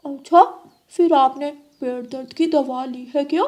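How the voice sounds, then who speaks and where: A woman's voice: high-pitched, a little slow, a little mellow. Woman voicing an eager young boy crow. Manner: eager, cheerful.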